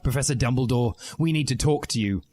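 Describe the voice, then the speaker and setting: A man's voice: mid-range voice, brisk, darkish tone, pitched above the performer's own voice, close to an everyday voice. Male reader as ranting teenage boy revolutionary, indignant, bombastic, self-important, comic storybook, music behind. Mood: urgent, indignant.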